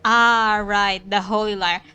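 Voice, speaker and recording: A woman casually chatting over a video game story, her voice bright and smooth. She talks slowly and unhurriedly, with game music faintly behind her. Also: medium pitch, steady tone, dull audio. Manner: chatty, laughing at the plot. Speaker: gaming streamer. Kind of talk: casual commentary over gameplay.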